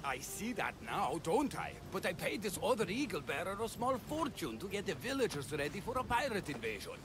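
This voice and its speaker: An actor playing the blunt, sardonic, exasperated leader of a threatened village in ancient Greece, in a video game cutscene. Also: male voice, high-pitched, very animated, brisk, music in the background. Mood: worried, bitter.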